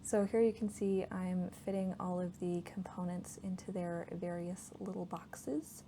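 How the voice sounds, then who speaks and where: A woman doing a casual, soft-spoken ASMR voiceover, hushed, gentle and informal, breathy at times. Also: fairly low pitch, measured pace. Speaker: host of a board game ASMR channel. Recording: steady background noise from a laptop. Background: laptop fan noise.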